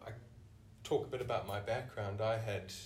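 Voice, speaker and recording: A South African man speaks in a lowish voice, heard from a little way off the microphone in an echoey room. He answers interview questions thoughtfully, often circling back and restarting his sentences as he works through each point. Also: fairly even intonation, normal speed, far from the mic, slight background noise. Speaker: senior clinician, podcast guest.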